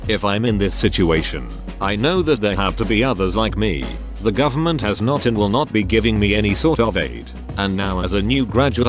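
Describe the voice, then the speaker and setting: Man, reads posts aloud, spells out abbreviations, animated intonation, low pitch. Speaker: Reddit post reader. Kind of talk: scripted reading of online posts. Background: faint background music.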